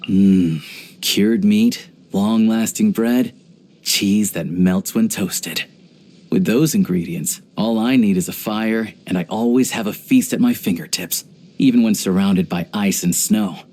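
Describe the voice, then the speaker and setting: Young hunter rifleman game character, kinda low voice, pragmatic, reflective, guarded but earnest. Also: unhurried, darkish tone. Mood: casual, wry. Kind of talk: video game character voice lines.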